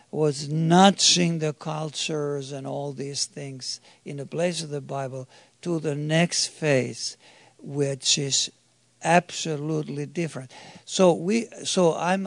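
Slow, gravelly-voiced preacher man. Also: on the high side, no room sound. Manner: emphatic, halting, with restarts and questions to the listeners. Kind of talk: sermon to a congregation.

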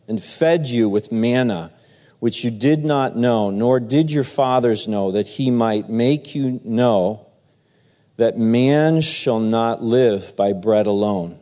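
Male pastor, slow and warm-toned, halting delivery with fillers; preaching and reading scripture. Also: mid-range voice. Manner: halting, filler-heavy, then steady reading.

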